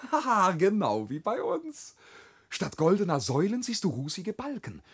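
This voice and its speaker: A brash young dreamer brags his way through a classic radio drama. His husky voice jumps around lively in pitch, full of swagger and boast. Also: unhurried, heavily acted. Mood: merry. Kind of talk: classic radio play acting.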